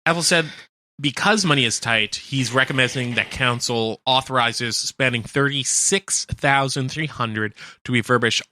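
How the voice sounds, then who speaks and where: Male community radio co-host, crisp forward tone, mid pitch, relaxed news chat, dry studio sound. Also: moderate pace, no room sound. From Canada. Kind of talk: casual local radio chat, reading news quotes.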